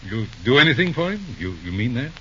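A man's voice: medium pitch, very animated, fast, a bit bright, highs cut off, a little hiss or hum. An actor playing a shrewd, fatherly older judge in old-time radio drama, speaking in an emphatic, pressing, avuncular way on an old recording. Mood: insistent, probing.

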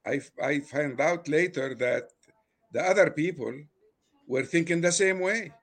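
Older Arabic-accented man telling his story. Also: on the high side. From Lebanon. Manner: halting, many fillers and restarts. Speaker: guest. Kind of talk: casual personal storytelling.

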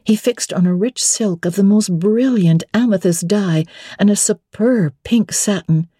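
Husky, mellow woman reading classic literature. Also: mid-range voice, dry recording, studio quality. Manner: measured, expressive, theatrical. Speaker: audiobook narrator. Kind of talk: dramatic scripted literary narration.

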